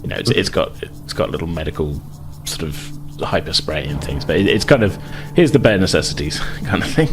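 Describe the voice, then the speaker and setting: A man's voice: extremely low pitch, animated, a little fast, few pauses. A man narrating an improvised tabletop game as game master, explaining rules and scenes in a tentative, meandering way. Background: music under the voice.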